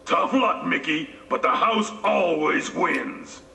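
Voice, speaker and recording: An actor playing a big, menacing loan-shark enforcer who throws gloating, threatening taunts in a boxing video game. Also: male voice, a little high, expressive, slow, gravelly, bright, forceful tone, full character voice. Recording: noisy, distant, echoey off-screen TV capture.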